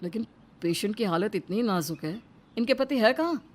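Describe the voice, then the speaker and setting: A stern, scolding man of authority acted by a woman in a cartoon melodrama. The voice is mid-pitched for a female speaker and carries the weight of command. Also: lively intonation, moderate pace, natural voice. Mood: grave. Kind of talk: Hindi cartoon drama.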